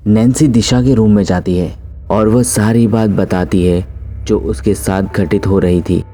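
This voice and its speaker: A man's voice: on the low side, steady tone, a little slow, warm tone. A man narrating a scripted horror story as its storyteller.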